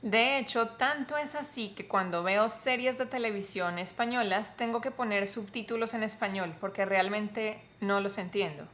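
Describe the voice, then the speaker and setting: A woman's voice: medium pitch, moderate pace, few pauses, a bit bright. Colombian woman explaining to camera. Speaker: vlogger, Spanish teacher.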